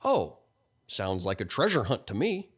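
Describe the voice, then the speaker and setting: A man's voice: mid-range voice, big pitch swings, a little slow. A man voicing a friendly, pushy, folksy middle-aged stranger in an audiobook story, with a funny accent. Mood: chummy, curious. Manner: folksy, chummy, coaxing.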